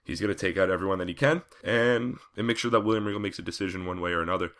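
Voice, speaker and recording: Male podcast host, low and bright, slightly raspy from a cold, casual chatty recap. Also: rapid-fire. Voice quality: raspy, scratchy throat. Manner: conversational with frequent fillers. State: coming down with a cold.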